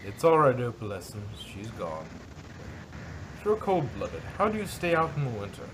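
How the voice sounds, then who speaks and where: A man's voice: mid-range voice, big pitch swings, normal speed, lightly acted. A man voicing a refined female pony, worried and caring, in a fan-fiction audio drama with a noisy recording. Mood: soothing, curious.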